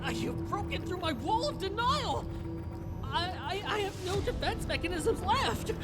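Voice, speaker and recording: A man's voice: extremely high pitch, sing-song, a little slow, heavily acted. An actor playing a self-pitying, delusional male internet troll and grand wizard in a theatrical, whiny game performance. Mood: whimpering, begging. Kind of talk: exaggerated video game cutscene acting. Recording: loud music behind the voice. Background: music.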